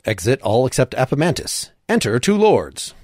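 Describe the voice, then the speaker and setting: A man's voice: medium pitch, sing-song, moderate pace, darkish tone, no room sound, clean studio sound. A man hosting a play reading, casually and wryly giving out stage directions.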